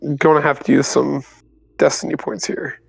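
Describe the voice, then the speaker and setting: Man with a slightly high voice playing a tabletop game. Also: moderate pace, creaky, rough recording. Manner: relaxed, swears casually. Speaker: player. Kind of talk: casual tabletop play.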